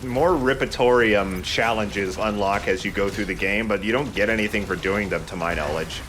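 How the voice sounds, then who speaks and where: A man's voice: fairly low pitch, a bit bright, a little hiss or hum. A man giving casual commentary over video game footage as a let's play commentator.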